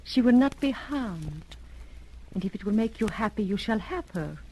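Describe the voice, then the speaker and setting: An actress in a 1930s radio drama playing a seductive, imperious hypnotic villainess who speaks slowly and commandingly. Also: female voice, medium pitch, lively intonation, darkish tone, natural voice. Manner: slow, deliberate, commanding. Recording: noisy old 1930s radio recording.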